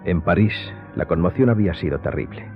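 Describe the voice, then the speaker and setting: A man's voice: deep voice, expressive, fairly quick, vocal fry. A man giving scripted dramatic narration as the narrator of a radio drama. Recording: thin phone-like sound, music behind.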